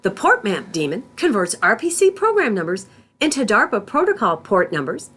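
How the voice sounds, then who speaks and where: A woman instructor reading scripted tutorial narration in a deliberate, step-by-step manner. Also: medium pitch, sing-song, fairly bright tone, husky. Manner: deliberate, spells out terms symbol by symbol.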